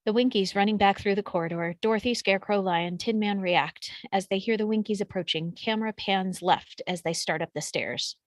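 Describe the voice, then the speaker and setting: A woman's voice: mid-range voice, a little fast, hardly any pauses, dry recording. A woman reading out screenplay action descriptions as scripted narration. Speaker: stage directions reader.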